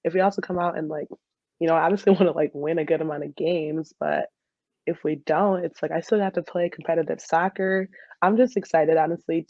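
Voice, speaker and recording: A woman's voice: sits low, creaky, no room sound, highs cut off. A young woman in a casual interview as a soccer player guest, peppering her talk with "like". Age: young adult. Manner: heavy use of "like" as she talks.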